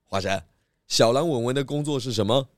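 A man voicing a calm, methodical pig detective who patiently leads with questions in a children's audio drama. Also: mid-range voice, no room sound, clean studio sound, natural voice. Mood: methodical, probing. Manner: measured, patient, leading with questions.

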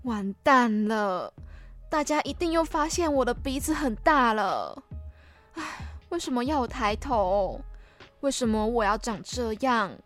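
A woman's voice: on the high side, expressive, unhurried, a bit bright. A woman playing an anxious, self-loathing student's inner monologue in a radio drama, with music behind. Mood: despairing.

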